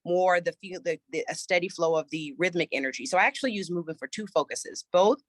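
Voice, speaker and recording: A woman's voice: on the low side, fast, dry recording. A woman choir director and voice teacher, a little scratchy from a recent cold, answering interview questions thoughtfully with many restarts.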